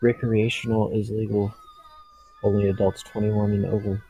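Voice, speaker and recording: A teenage boy with a very deep, gravelly voice tosses in short reactions and wry asides. His tone is dark and mellow. He speaks in loose, casual chat. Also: little pitch movement, moderate pace, rough recording. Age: teens. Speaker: podcast co-host. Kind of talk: casual chat among friends.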